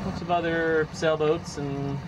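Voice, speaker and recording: A man's voice: fairly high pitch, flat delivery, unhurried, background noise. A man in his forties giving casual, understated vlog commentary outdoors on a sailboat, muttering brief asides into a nearby camera. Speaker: solo sailor vlogging. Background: music.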